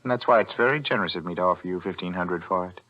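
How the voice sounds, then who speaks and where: A man's voice: low voice, very fast, close to an everyday voice. An American actor playing a shrewd, grasping pawnbroker in a 1940s radio thriller, hemming and reasoning slyly as he drives a bargain. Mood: smugly threatening. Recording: muffled old 1940s radio recording.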